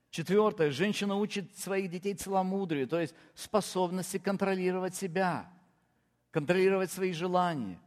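A man preaches with firm, emphatic stresses, setting out his points one after another. His voice sits a little high for a man, and it rings with the long reverb of a large hall. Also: a little mellow. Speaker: pastor. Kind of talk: sermon.

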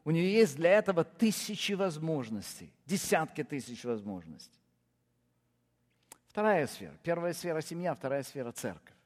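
A man's voice: fairly high pitch, big pitch swings, moderate pace, in a large hall. A man, a pastor, preaching a sermon and counting off his points with firm, rising emphasis.

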